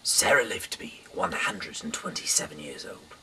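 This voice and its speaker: A man reading scripture aloud informally as a host, halting and rambling, stumbling over words and trailing off, with some noise in the recording. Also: sits low, lively intonation, pushed and bright, low-quality recording.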